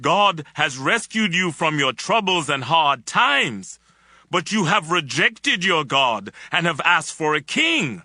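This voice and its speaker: An actor playing a commanding, earnest Hebrew prophet, instructing in a solemn, declamatory manner for a dramatized audio Bible. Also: male voice, a little high, bright, forceful tone, dry recording, clean studio sound, full character voice. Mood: solemn, proclaiming. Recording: music behind the voice.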